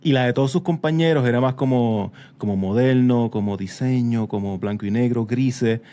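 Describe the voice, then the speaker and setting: A man hosts a conversational podcast. His voice is soft-edged and relaxed in tone, while his storytelling is animated, with bits of English slipped in. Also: mid-range voice, normal speed. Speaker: podcast host. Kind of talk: casual conversational interview.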